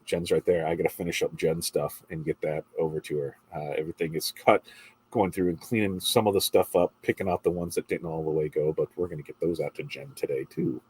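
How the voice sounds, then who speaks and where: A man's voice: rumbling low, steady tone, a little fast, darkish tone, breathy edge, rough recording. A middle-aged man with a congested voice hosts a casual morning livestream, chatting and reading viewer comments in a rambling way.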